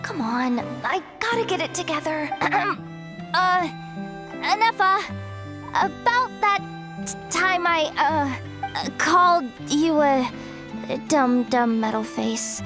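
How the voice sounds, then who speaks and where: A woman's voice: high voice, animated, slow pace. A woman voicing an awkward, easily flustered youngster in a video game, blustery and hesitant. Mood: embarrassed, reluctant. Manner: blustery, self-conscious, hesitant mumbling to herself. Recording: game music playing behind. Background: game music.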